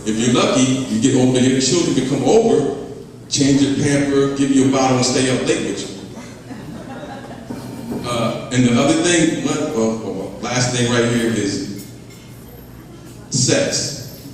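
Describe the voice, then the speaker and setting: A male comedian wraps up his set in a halting, stumbling way. His voice echoes through a reverberant club room. He is picked up from a distance with faint noise behind him. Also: mid-range voice, a little mellow. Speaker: stand-up comedian. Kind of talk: stand-up closing jokes.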